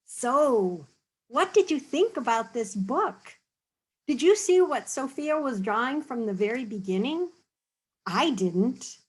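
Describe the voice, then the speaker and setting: A woman's voice: a little high, lively intonation, slow, slightly rough, rough recording. A woman reading a picture book aloud to children, then patiently explaining drawing tips and checking in with them often. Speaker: storybook reader and teacher.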